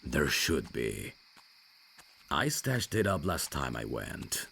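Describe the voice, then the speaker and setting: A man playing a reserved, rational vampire messenger in an audio roleplay, dry and guarded, with a gravelly, deep voice. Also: wildly swooping pitch, slow, sharp tone, slight background noise, exaggerated voice. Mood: calm, serious. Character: reserved, rational male vampire messenger, over fifty years undead.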